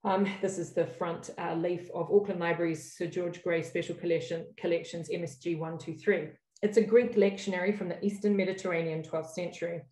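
Middle-aged New Zealand woman, academic giving a workshop talk; low-pitched, careful, self-correcting; roomy video-call sound. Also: fairly even intonation, a little fast, few pauses, dark tone. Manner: thoughtful, frequent um and self-corrections. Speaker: academic speaker opening a workshop. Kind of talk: scholarly lecture over slides.

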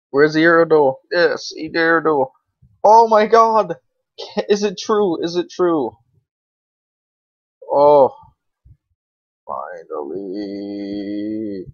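A young man talks through a video game in a loose, slangy ramble, swearing casually as he thinks out loud. His voice sits at an average male pitch. The sound is dry and close but dull and lo-fi, like a basic computer microphone. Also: dry recording. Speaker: gaming video creator. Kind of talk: casual unscripted game commentary.

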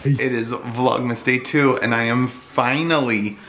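A man's voice: medium pitch, fairly even intonation, a little slow, a bit bright. An American man in his thirties chatting casually in a vlog, chatty, his voice congested and giving out from a cold. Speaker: vlogger. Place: indoors at home. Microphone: handheld phone or camera at arm's length.

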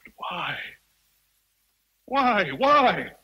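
An actor playing a passionate, domineering English gentleman in a radio drama, intense, pleading and threatening. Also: high voice, sing-song, extremely slow, gravelly, husky, muffled recording, full character voice. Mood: impassioned pleading.

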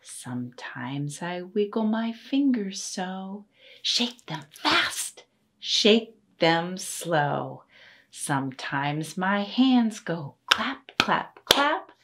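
Very slow, lively sing-song woman. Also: lowish voice. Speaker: story time host. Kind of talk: sing-song children's rhyme recital. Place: indoor room with books and posters.

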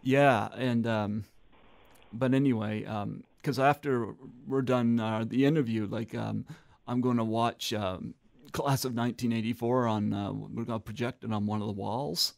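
A man hosting an interview as a movie reviewer, speaking casually and haltingly with many ums. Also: mid-range voice, steady tone, creaky, darkish tone.

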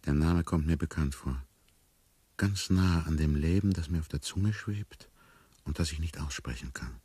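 An actor playing a bewildered amnesiac man in a radio play, musing inwardly in a hushed, wryly detached way. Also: rumbling low, moderate pace, breathy edge, full character voice. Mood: wry unease. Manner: hushed, musing, often near whispering. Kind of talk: radio play inner monologue.

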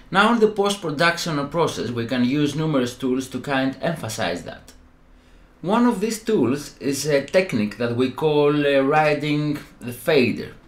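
Greek-accented man giving a halting tutorial. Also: medium pitch. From Greece. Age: young adult. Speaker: tutorial presenter. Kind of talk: instructional tutorial narration.

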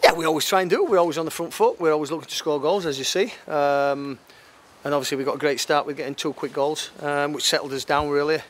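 A man in his fifties giving an unscripted post-match football interview, matter-of-fact and halting, repeating phrases as he goes. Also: fairly high pitch, a bit bright. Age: 50s. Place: outdoors at a football ground at night. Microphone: handheld interview microphone. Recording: clear outdoor background noise.

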